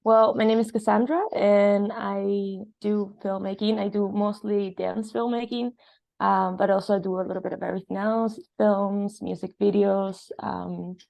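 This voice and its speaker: A woman's voice: mid-range voice, flat delivery, normal speed, creaky, a little mellow, lo-fi sound. A woman from Argentina, raised in Spain and Italy, speaking as an interview guest in an earnest, reflective, conversational way. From born in Argentina, grew up in Spain and Italy. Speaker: guest, dance filmmaker.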